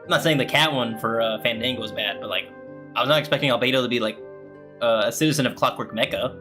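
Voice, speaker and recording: A man's voice: mid-range voice, fast. A man giving casual, off-the-cuff commentary as he plays a video game. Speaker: gaming streamer. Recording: game music clearly behind.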